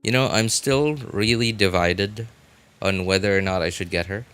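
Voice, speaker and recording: Low-voiced man chatting on a game stream. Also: moderate pace, a bit bright, clear, resonant tone, no room sound. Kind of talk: casual live stream chat with viewers. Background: music.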